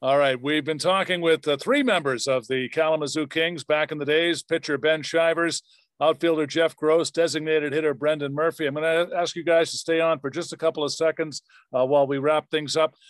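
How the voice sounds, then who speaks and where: A man's voice: on the high side, fairly even intonation, a little fast, dry recording. A man hosting a podcast, talking casually and thanking his guests appreciatively. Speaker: podcast host. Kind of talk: casual interview sign-off.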